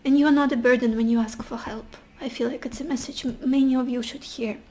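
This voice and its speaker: Woman, high pitch, voice fading and hoarse; soft, reassuring tarot-reading delivery. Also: fairly even intonation, fast talker. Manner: gentle, reassuring, addresses the viewer directly. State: losing her voice, hoarse. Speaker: tarot reader. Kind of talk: casual pick-a-card tarot reading.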